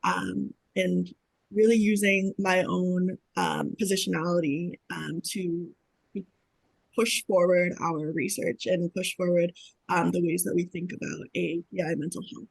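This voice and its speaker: A woman's voice: lowish voice, flat delivery, unhurried, creaky, husky, no room sound, poor audio. A young woman from Boston describing her research on a video-call panel, hesitant with many ums and likes. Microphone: video call with dropping audio.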